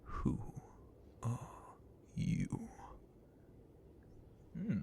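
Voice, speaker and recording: A man playing a sadistic, haughty dragon captain of the guard in an ASMR roleplay, speaking with menacing contempt in a breathy, gravelly whisper. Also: warm tone. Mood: menacing, probing. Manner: menacing, contemptuous, drawn-out theatrical delivery.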